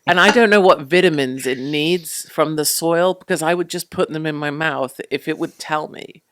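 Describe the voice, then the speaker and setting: A woman with a low voice, a podcast guest chatting in a bawdy, animated way and laughing often. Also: normal speed. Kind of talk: casual chat.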